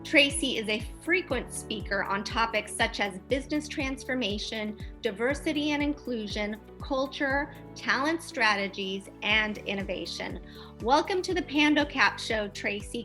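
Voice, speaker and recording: A woman's voice: on the high side, lively intonation, moderate pace, sharp tone, soft background music. A woman hosting a podcast interview, upbeat and reading prepared introductions and questions. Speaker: podcast host.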